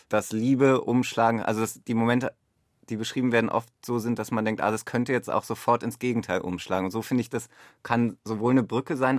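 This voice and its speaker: A man's voice: medium pitch, dry recording, studio quality. A man speaking as a radio director in an unscripted conversation, explaining his interpretations in a reflective way. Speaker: radio play director in discussion.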